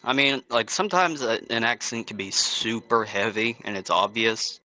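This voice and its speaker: A man's voice: fairly low pitch, moderate pace, sharp tone, dry recording, low-quality recording. A young man from Texas with a Texan accent, chatting casually on a stream call, laid-back and laughing often. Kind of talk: casual chat.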